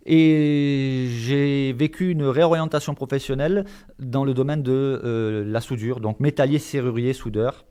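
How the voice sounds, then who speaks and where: A man's voice: medium pitch, steady tone, a little slow, dark tone, clean tone. Hesitant man from Marseille, mid-range voice. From Marseille, France. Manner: hesitant, searching for words. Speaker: interview guest, volunteer visitor of the elderly. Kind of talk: conversational interview answers.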